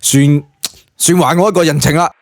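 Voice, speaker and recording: A man's voice: on the high side, fairly even intonation, moderate pace, gravelly, no echo, studio quality. A man voicing a brash, foul-mouthed young male hero of an audio drama, blunt and slangy, with a Hong Kong Cantonese accent. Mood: demanding.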